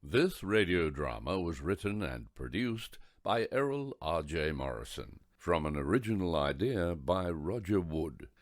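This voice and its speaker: Animated male radio drama narrator. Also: deep voice, unhurried, few pauses.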